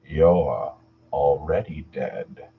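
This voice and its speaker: A man voicing a slim, masked, inhuman villain in a horror story, speaking smoothly and slowly with eerie comfort. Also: a bass voice, full character voice. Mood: cold, final. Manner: slow, hushed comforting. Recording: dull lo-fi sound with faint noise.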